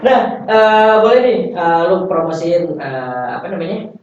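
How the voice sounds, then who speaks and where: Higher-voiced young man in an echoey room. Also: animated, measured pace. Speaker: podcast host. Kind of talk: casual interview chat. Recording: echoey, distant, dull sound, faint noise.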